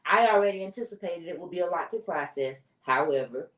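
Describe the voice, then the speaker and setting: Loud blunt woman in an echoey room. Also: on the low side, expressive, quick pace. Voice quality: loud, strong voice. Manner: blunt, emphatic, repeats herself. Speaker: podcast co-host. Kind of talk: casual chat. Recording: echoey room, far from mic.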